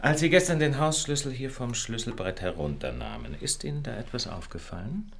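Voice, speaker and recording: An actor playing a confident, probing gentleman detective with precise, assured delivery in a German radio drama. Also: medium pitch, sing-song, a little fast, gravelly. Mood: probing, curious.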